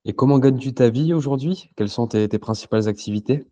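Steady male interviewer, mellow tone. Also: medium pitch, fast talker. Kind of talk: reading scripted interview questions.

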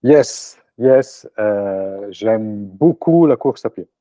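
A man's voice: fairly low pitch, lively intonation, very slow, mellow, dry recording. A man in his forties from Italy, a native Italian speaker, answering interview questions with many fillers and restarts. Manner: hesitant, frequent fillers and restarts. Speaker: interview guest, entrepreneur.

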